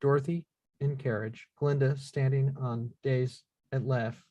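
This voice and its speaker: Male narrator for script action lines, mid-pitched, plain and factual, very dry recording. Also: steady tone, measured pace, mellow, dry recording, dull audio. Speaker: stage-directions reader. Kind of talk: scripted narration of screenplay action at a live table read.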